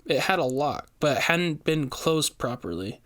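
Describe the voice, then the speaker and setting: A man's voice: a little high, sing-song, a little slow, fairly bright tone. Male narrator of a brooding gothic story. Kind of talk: first-person scripted story narration, noir gothic.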